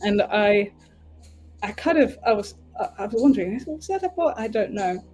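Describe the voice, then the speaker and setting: A woman's voice: mid-range voice, expressive, normal speed, a little mellow. Woman, English accent, tarot reader, livestream host, casual meandering chat, faint music behind. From United Kingdom. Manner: chatty, meandering, often breaks off to fix the stream.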